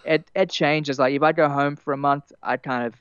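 A young man with an Australian accent softened by years in America, chatting loosely in an interview with plenty of fillers and restarts. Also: on the high side, steady tone, normal speed, smooth, no room sound, lo-fi sound. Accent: Australian, partly Americanized. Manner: rambling, many fillers and restarts, laughs easily. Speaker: musician guest. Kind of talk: casual interview chat.

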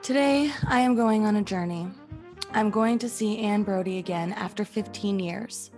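A woman playing a gently sceptical, concerned friend and narrator in a classic radio suspense play. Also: medium pitch, normal speed, faint music behind, lightly acted. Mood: haunted, sombre.

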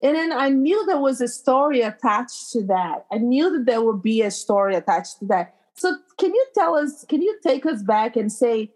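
A woman hosts a casual interview, effusive and gushing as she questions her guest. Her voice is somewhat high, and she speaks in a friendly conversational way. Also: animated, moderate pace, few pauses, darkish tone. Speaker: host.

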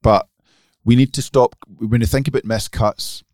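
A man's voice: mid-range voice, fairly even intonation, moderate pace, darkish tone, no room sound, studio quality. A man co-hosting a podcast, giving emphatic, opinionated golf picks in casual chat. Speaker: podcast co-host.

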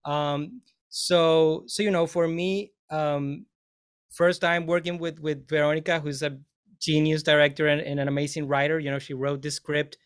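A man's voice: a little high, little pitch movement, normal speed, smooth. A man, a film composer on a panel, talking casually and rambling with many fillers and restarts.

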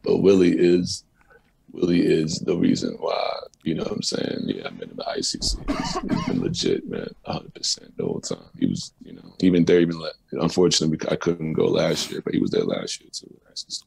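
A man, a musician guest, telling stories in a loose, profane, animated way on a casual talk show. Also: very deep voice, fairly quick, vocal fry, slightly rough. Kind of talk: casual storytelling interview.

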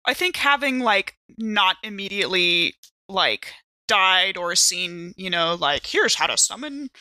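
Bright-voiced woman playing a tabletop game. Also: medium pitch, lively intonation, measured pace, no room sound, poor audio. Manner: thinking aloud, informal. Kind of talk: casual, conversational game play on a podcast.

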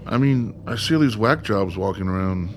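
A man's voice: fairly low pitch, animated, moderate pace, slight background noise, close to an everyday voice. An actor playing a curious, chatty man confined in a mental ward in a radio play. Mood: curious, casual. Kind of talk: conversational radio play acting.

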